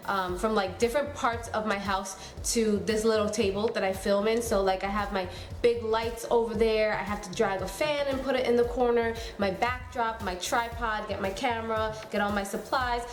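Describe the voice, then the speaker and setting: A woman's voice: medium pitch, fairly even intonation, moderate pace, roomy sound, faint music behind. A young woman in her twenties talking to camera as a beauty YouTuber at home, in a casual, chatty way full of "um" and "like". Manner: chatty, many fillers like "um" and "like". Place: room at home.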